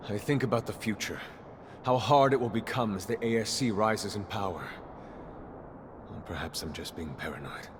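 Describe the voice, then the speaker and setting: Grave, low-voiced mentor, played by a man. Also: a little hiss or hum, natural voice. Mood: weary concern. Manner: measured, formal, solemn. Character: grave male master technomancer, protective, weary mentor. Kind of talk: video game voice acting.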